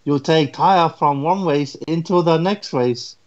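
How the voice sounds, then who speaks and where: A man's voice: a little high, a little slow, lo-fi sound, lightly acted. A man voicing a curt male engine company clerk, in clipped broken English. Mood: chirpy.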